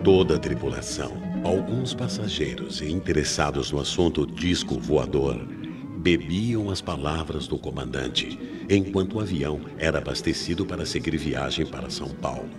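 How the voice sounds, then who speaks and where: A Brazilian man narrating a scripted radio mystery drama in a solemn, theatrical manner with deliberate phrasing. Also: extremely low pitch, lively intonation. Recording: music behind narration. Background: music.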